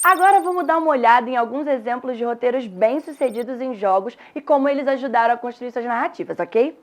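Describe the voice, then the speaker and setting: A woman's voice: fairly high pitch, expressive, fast. A woman with a Brazilian Portuguese accent narrating a scripted online lesson in a didactic way, speaking straight to the students. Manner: didactic, addresses students directly. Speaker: teacher presenting an online course.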